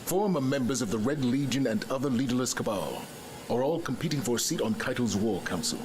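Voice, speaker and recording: An actor playing a solemn military commander in a video game, giving a briefing in a measured, authoritative way. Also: male voice, medium pitch, animated, lightly acted. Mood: resolute.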